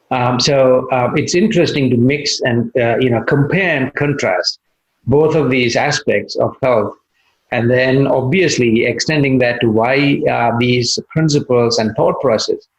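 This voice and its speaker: A man from Sri Lanka, a cardiologist guest, explaining things in a measured way with many pauses and fillers. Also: mid-range voice, dull audio. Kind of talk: interview answers.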